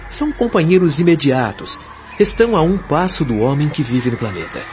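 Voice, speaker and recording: A man's voice: on the high side, very animated, brisk, darkish tone, slight background noise. A Brazilian man playing a patient, authoritative spirit guide in an audio drama, explaining things in a solemn, articulate, didactic way. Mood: grave, explanatory. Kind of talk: audio drama acting, expository dialogue. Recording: dull lo-fi audio over music.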